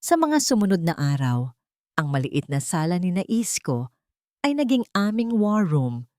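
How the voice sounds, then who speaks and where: A woman narrating a dramatic first-person story in a solemn, deliberate, emotive way. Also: fairly low pitch, sing-song, a little mellow, no echo, clean studio sound.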